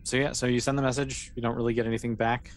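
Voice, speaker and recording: Fast-talking game master over background noise. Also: mid-range voice, flat delivery. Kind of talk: improvised tabletop game narration.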